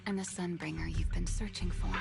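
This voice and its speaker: A woman's voice: on the low side, steady tone, brisk, creaky. A woman playing a solemn, cryptic character in a fantasy video game story. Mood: grave, searching. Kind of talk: scripted video game voice acting. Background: music.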